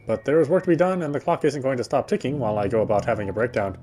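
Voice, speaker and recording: A man reads a visual novel's narration aloud during a let's play. His voice has a middling pitch, and game music runs behind it. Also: racing, mellow.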